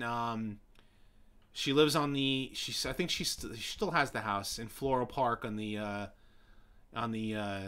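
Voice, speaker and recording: A young man hosting a casual live stream, rambling with many fillers and restarts as he answers viewers, his voice getting hoarse. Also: mid-range voice, bright, forceful tone. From New York City.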